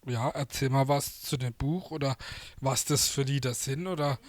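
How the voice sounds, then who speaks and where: A man's voice: mid-range voice, steady tone, normal speed, dry recording. A man with an Alemannic Baden German accent, hosting a studio interview and asking loose, rambling questions. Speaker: host. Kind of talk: casual radio interview.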